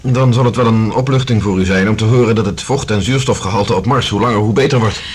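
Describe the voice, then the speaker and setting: An actor playing an earnest, methodical scientist-explorer in a Dutch science fiction radio drama, speaking in a measured, matter-of-fact way. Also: male voice, lowish voice, husky.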